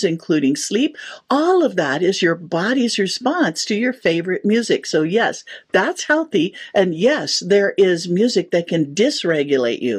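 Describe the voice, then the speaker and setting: A woman's voice: mid-range voice, a little mellow, husky. A middle-aged woman, a sound therapist, explaining her work in an interview with enthusiasm and easy laughter. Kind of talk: interview answers.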